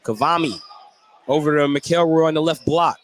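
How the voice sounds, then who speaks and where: A man's voice: a little high, moderate pace. A man working as a radio play-by-play announcer, describing a live basketball game in clipped, running phrases. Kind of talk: live radio sports commentary. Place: indoor basketball gym. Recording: very noisy live gym broadcast.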